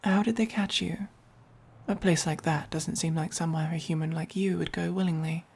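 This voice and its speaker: A woman with an English accent voicing a haughty, possessive but caring vampire in a soft-spoken ASMR roleplay, with a breathy, commanding delivery. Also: lowish voice, steady tone. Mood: protective, soothing. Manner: slow, deliberate, commanding.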